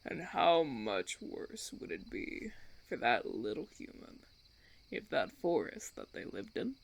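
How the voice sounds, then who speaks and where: A man playing a smug, menacing vampire recruiter in an audio roleplay, with a gravelly, creaky voice and theatrical, taunting, drawn-out phrasing. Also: mid-range voice, fairly bright tone, heavily acted. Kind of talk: ASMR audio roleplay acting.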